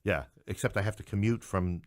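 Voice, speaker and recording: A middle-aged man with a deep voice in a dry, close recording. He speaks conversationally as an interview guest, spinning anecdotes with animation and often restarting his phrases. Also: fairly quick, no room sound. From New York City. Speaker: podcast guest, English professor.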